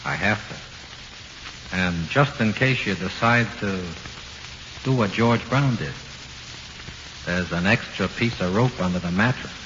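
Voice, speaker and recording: An actor playing a casual, morbidly helpful ghost of a jailbird with an American accent, in 1940s radio drama. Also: male voice, fairly low pitch, slow, pauses often. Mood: eerily casual. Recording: noisy dull old radio, long reverb.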